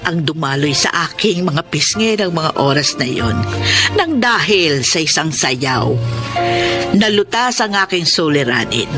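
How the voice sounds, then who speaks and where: An older woman in a Filipino radio drama, playing a poor, worried grandmother who speaks in an earnest, pleading way. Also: deep voice. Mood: tearful, reflective.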